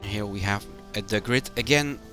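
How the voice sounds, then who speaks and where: A man from Belgium, a native Dutch speaker with a Belgian Dutch accent, commentates a live game stream in halting, short phrases. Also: fairly low pitch, sharp tone, soft background music. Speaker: esports match commentator, community manager.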